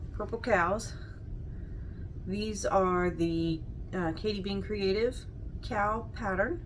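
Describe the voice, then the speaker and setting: Low-voiced woman, slightly under the weather. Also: background noise. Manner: chatty, rambling, frequent ums. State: recovering from illness, voice a little off. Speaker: crafter showing market stock. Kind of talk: casual chat to camera. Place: small indoor room.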